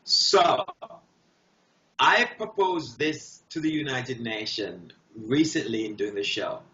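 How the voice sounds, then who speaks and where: A man speaking as an interview guest, giving impassioned, emphatic answers in short, deliberate, declarative phrases. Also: medium pitch, animated, bright, forceful tone. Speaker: guest artist. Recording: dull, roomy echoing sound.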